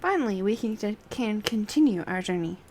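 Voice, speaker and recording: A woman voicing a composed female scholar in a fantasy game, with measured, formal, old-fashioned phrasing. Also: medium pitch, animated, a little mellow, slight background noise, close to an everyday voice, poor audio.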